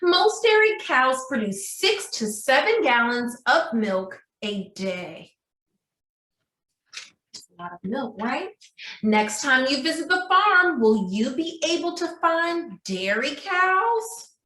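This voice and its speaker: Animated high-pitched woman teaching preschoolers. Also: slow pace. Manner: sing-song, addresses young children with questions. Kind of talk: children's read-aloud and craft lesson. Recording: roomy, echoey indoor sound.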